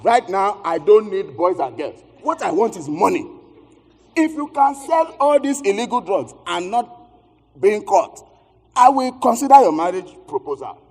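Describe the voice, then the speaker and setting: A man's voice: sits high, big pitch swings, moderate pace, darkish tone, full character voice. An actor with a West African accent playing a blustering, sweet-talking married man and shady dealer in a theatrical stage comedy-drama. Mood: insistent. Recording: echoey theatre hall, distant stage sound.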